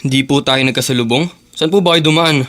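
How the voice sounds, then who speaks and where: A cheeky teenage boy , played by a male actor in a radio drama. He has a mid-pitched voice and a casual, slangy, pleading way of talking. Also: fast talker, fairly bright tone, dry recording, natural voice. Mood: defensive, whiny.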